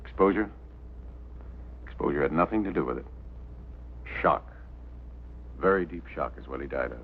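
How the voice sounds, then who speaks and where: A man's voice: extremely low pitch, animated, moderate pace, creaky, full character voice. An actor playing a grave, matter-of-fact male doctor in a vintage American film drama. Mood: grave, firm. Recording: tinny old film soundtrack, faint noise.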